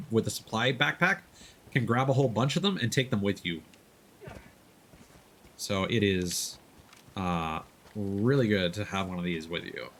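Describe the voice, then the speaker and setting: A man's voice: lowish voice, fairly bright tone, a little hiss or hum. A man doing live let's-play commentary, casually explaining the game as he plays. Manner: casual, instructive. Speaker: game streamer. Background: video game ambience.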